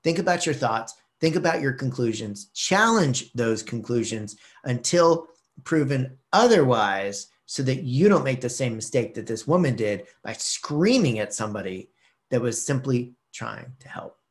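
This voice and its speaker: A middle-aged man hosting his own video channel, telling a personal story to the camera with lively gestures and acted-out quotes, recorded in a small room at home on a webcam. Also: medium pitch, unhurried. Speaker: vlog host.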